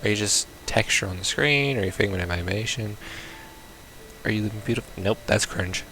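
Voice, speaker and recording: A man's voice: on the low side, a little fast, sharp tone, faint music behind, low-quality recording. A man playing a visual novel, reading its text aloud casually with baffled, blunt asides. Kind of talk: casual let's play, reading game text aloud with confused reactions.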